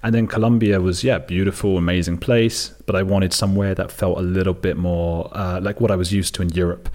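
An English man in his thirties, a guest in a relaxed conversation, chatting and joking about himself. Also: deep voice, fairly quick. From England. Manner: chatty, joking, self-deprecating. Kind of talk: casual conversation.

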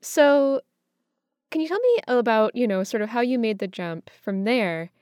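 A woman's voice: a little high, animated, unhurried, darkish tone, clean tone, dry recording, clean studio sound. An American woman hosting a casual interview, speaking in a reflective way with tentative phrasing. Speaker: interviewer, host.

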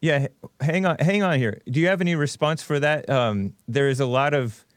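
A man hosting a call-in show, moving briskly between the caller and the guest. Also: a little high, dry recording, clean studio sound. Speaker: show host.

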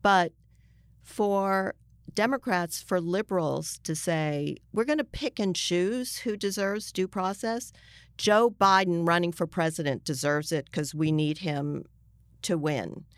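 Woman journalist guest making an argument, clear resonant voice, insistent, restarts sentences, dry close audio. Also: lowish voice, slow pace, no room sound. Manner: emphatic, insistent, breaking off to rephrase. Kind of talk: argumentative interview discussion.

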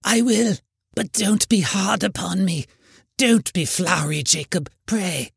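A man voicing a frightened old miser, faltering and pleading in a gravelly, breathy voice. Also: high-pitched, unhurried, no echo, clean studio sound, big-room sound, pitched above the performer's own voice, full character voice. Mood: nervous pleading.

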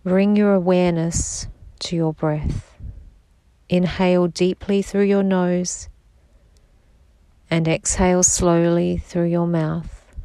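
A woman guiding a sleep meditation in a slow, soft-spoken, soothing manner. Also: lowish voice, no echo. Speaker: meditation guide.

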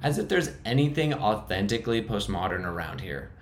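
A man's voice: lowish voice, big pitch swings, brisk, a little hiss or hum. A man playing a fussy male survivor, snobbish and exasperated in his talk. His voice is a touch raised, echoing in a roomy space. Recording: roomy echo.

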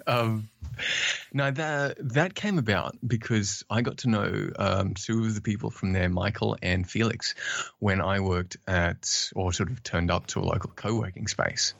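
A man's voice: lowish voice, unhurried, creaky, a bit bright, muffled recording. A native English-speaking man answering interview questions, hesitant and polite, with many fillers and restarts. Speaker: podcast guest, documentation writer.

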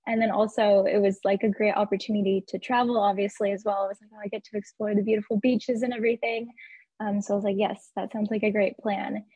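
A woman's voice: medium pitch, flat delivery, fast talker, warm tone, breathy edge, dry recording. A young woman from Arizona, a graphic designer guest, talking in a friendly way full of "like" and "um". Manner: friendly, lots of "like" and "um". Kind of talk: casual interview.

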